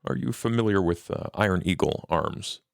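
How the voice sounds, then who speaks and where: A man improvising in-character dialogue in a tabletop game in a formal, deliberate, businesslike manner. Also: low-pitched, creaky, clear, resonant tone, dry recording, clean studio sound. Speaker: player in a tabletop role-playing game.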